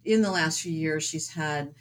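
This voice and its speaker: A woman's voice: sits low, unhurried. A woman guest, a painter who trained as a doctor, answering interview questions thoughtfully with frequent ums and uhs. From suburbs of New York City. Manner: hesitant, many ums and uhs. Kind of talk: casual interview chat.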